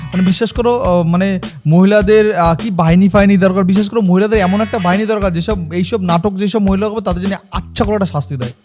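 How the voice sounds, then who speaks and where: High-pitched man reacting to videos. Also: fast talker, darkish tone, faint music behind. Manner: exclamatory, repeats words and phrases. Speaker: host of a meme reaction channel. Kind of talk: casual reaction commentary.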